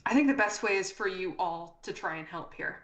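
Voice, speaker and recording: A woman running a tabletop game as game master, improvising narration and rulings in a deliberate way as she thinks aloud. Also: lowish voice, fairly bright tone. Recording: roomy echo.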